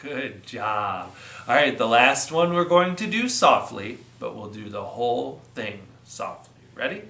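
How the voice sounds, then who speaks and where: Animated, bright-voiced man hosting children's storytime. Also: mid-range voice, unhurried, gravelly. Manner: encouraging, playful, simple repeated instructions. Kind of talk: sing-along storytime for young children. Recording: roomy, echoing sound.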